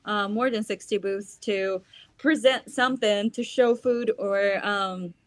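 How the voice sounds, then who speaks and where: A woman's voice: medium pitch, steady tone, measured pace, dry recording. A young woman from Belarus with a Belarusian accent, a native Russian speaker, chatting eagerly as a festival organizer with many ums and uhs. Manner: enthusiastic, frequent ums and uhs. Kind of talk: casual interview chat.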